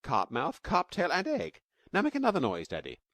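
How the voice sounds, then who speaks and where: A man voicing an eager, chattering little cave girl with a high, squeaky voice. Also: wildly swooping pitch, normal speed, a bit bright, no echo, studio quality.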